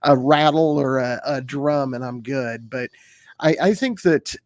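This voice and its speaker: Relaxed man with stammering restarts. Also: medium pitch, a little slow, dry recording. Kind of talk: casual conversation.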